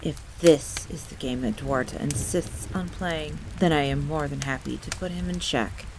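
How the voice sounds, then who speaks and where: A woman voicing a cool, commanding aristocratic male vampire, composed and unhurried, in an audio roleplay. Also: low voice, background noise, pitched below the performer's own voice. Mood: calm, menacing. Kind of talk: in-character storytelling audio roleplay. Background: background ambience.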